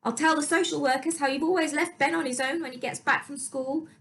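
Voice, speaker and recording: A woman's voice: steady tone, fairly quick, a bit bright. A woman voicing a spiteful, petulant stepmother with a whiny voice in a dramatic storybook reading. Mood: vicious, threatening. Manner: petulant, shrill outbursts.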